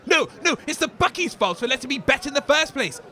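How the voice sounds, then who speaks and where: Man acting greedy blustering wasteland commentator, very high voice, sharp edge, foul-mouthed, audio comedy. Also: very animated, brisk, a faint crowd, exaggerated voice. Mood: indignant. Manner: blustering, ranting, insult-laden.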